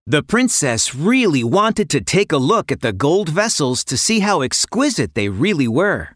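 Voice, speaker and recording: A man's voice: fairly high pitch, sing-song, moderate pace, a bit bright, dry recording, studio quality. A man narrating a children's fairy tale in a dramatic, playful storytelling manner. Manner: dramatic, playful sound words.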